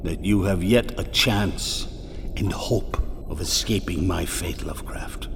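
An actor playing the doomed ghost of a writer, slow and solemn, swinging from loud outbursts to hushed whispers, in a radio drama. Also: male voice, deep voice, vocal fry. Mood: grave. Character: doomed ghost of a male writer, mournful and grave. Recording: long hall reverb, faint noise.

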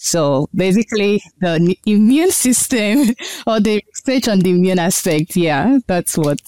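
A woman's voice: mid-range voice, expressive, measured pace, dark tone, no room sound. A woman, explaining her research as a guest in an earnest, thoughtful interview. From Nigeria. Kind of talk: interview answers.

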